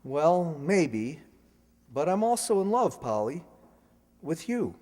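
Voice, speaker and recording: An actor playing an earnest, flustered young son in love, emphatic and pleading, in a radio comedy. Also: male voice, on the high side, big pitch swings, slow pace, darkish tone, heavily acted. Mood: pleading, tender. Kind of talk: old-time radio comedy acting. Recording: reverberant hall, stage radio play.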